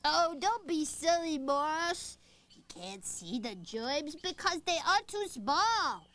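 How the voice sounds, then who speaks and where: Sniffly high cartoon moose girl. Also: sing-song, takes it slowly, bright, forceful tone, heavily acted. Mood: gentle, sickly. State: stuffy from a cold. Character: young female moose friend, sick with a cold.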